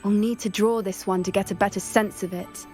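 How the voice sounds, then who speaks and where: An actress playing an earnest young female student who loves magical creatures, speaking in a soft, thoughtful, sometimes hesitant way in video game dialogue. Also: mid-range voice, steady tone, a little fast, soft background music, natural voice. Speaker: game character.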